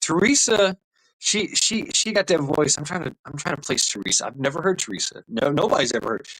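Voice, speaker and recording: A man's voice: fairly high pitch, animated, brisk, a bit bright, dry recording, low-quality recording. A man hosting a casual livestream, rambling in a tipsy way, full of laughs and fillers. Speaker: livestream host.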